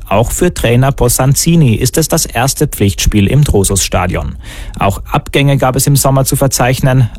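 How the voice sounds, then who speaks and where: A man reads a short sports report for radio, brisk and factual. His mid-range voice sounds close and dry, with no room around it. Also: mid-range voice, a little mellow, dry recording. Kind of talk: pre-recorded sports report.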